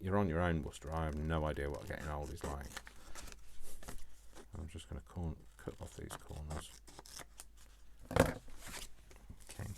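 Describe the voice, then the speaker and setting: A man's voice: rumbling low, creaky, heavy background noise. A man giving a casual, chatty craft demonstration, thinking aloud and playfully muttering short repeated phrases to himself as he works. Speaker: craft tutorial host.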